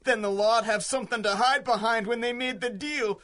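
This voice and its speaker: A panicky, cornered henchman in a 1930s-style radio play. His voice is extremely high and tense, agitated and defiant as he pleads his case. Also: fairly even intonation, normal speed, sharp tone. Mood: frightened defiance.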